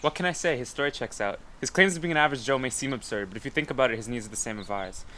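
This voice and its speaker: A man's voice: mid-range voice, very fast, bright, forceful tone, slight background noise, lightly acted. A man playing an evasive, casual 1920s local being interviewed on the street from a scripted part. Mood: casual, persuasive.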